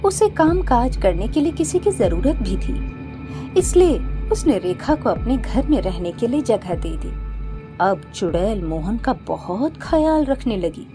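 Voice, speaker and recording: A woman narrates a scripted horror-comedy tale. Her voice is fairly high and moves with animated intonation. Music plays clearly underneath. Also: measured pace. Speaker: storyteller. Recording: background music.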